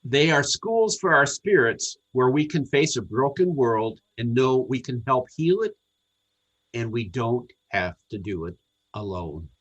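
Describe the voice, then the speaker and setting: A man reading a scripted sermon to a congregation in a measured, earnest, deliberate way. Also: medium pitch, expressive, fairly bright tone, highs cut off. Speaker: congregational service speaker.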